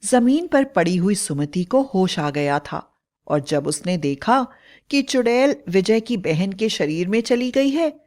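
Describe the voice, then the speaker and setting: A woman's voice: medium pitch, lively intonation, measured pace, a little mellow. A woman narrating a scripted horror fairy tale in a dramatic storytelling style.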